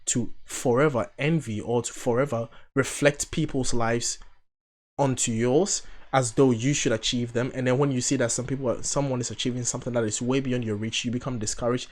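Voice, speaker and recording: A man's voice: medium pitch, fairly even intonation, brisk. A man in his thirties from Ghana, hosting a reaction video in a chatty, rambling, playfully sarcastic way. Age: 30s. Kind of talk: casual reaction commentary.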